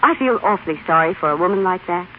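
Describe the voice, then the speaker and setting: An actress playing a charming, confident young fashion editor, polished and persuasive, in an old radio advertisement. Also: female voice, lowish voice, animated, quick pace, close to an everyday voice. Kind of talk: scripted 1930s radio advertisement. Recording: tinny, hissy old radio recording.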